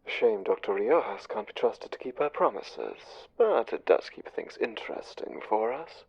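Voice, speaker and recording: A man playing a smooth, commanding, conniving spymaster angel in an audio drama, speaking with measured, condescending menace. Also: fairly low pitch, big pitch swings, dry recording, muffled recording, full character voice. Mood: cool, imperious. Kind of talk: audio drama roleplay, one side of a conversation.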